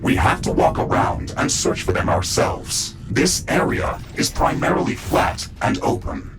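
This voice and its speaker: A man playing the AI of a mecha-suit in a video game, giving formal, measured tactical reports with a robotic processed voice. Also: on the low side, wildly swooping pitch, creaky, music in the background, full character voice. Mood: calm, formal. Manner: measured, formal, clipped announcements. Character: male AI of a mecha-suit, calm tactical advisor. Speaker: AI companion giving mission reports. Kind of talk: scripted video game dialogue.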